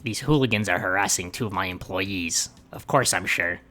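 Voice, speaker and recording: A man playing a calm, sly, protective bar boss in a fan-dubbed visual novel, speaking in a measured, polite way. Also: low voice, faint music behind. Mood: scheming.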